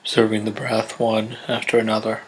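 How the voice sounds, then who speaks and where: A man guiding a body scan meditation in a gentle, unhurried, measured way, leaving pauses between instructions. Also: fairly low pitch, monotone, slight background noise, poor audio. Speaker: meditation guide. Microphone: close USB condenser microphone.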